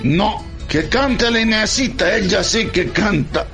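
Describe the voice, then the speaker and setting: A grumbling Argentine family father played by a man in a radio play. His voice is high and creaky, full of exclamations, with music behind it. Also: lively intonation, measured pace, a bit bright, full character voice. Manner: exclamatory, punctuating with "ma". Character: grumbling, excitable family father of Italian-immigrant flavor.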